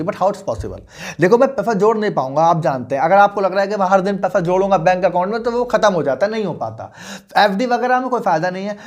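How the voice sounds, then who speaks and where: A young man in his twenties coaching on investing, talking persuasively and often checking that the listener is following. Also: sits high. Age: 20s. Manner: persuasive, mixes English into Hindi, asks the listener questions. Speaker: finance coach. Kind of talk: motivational finance lesson.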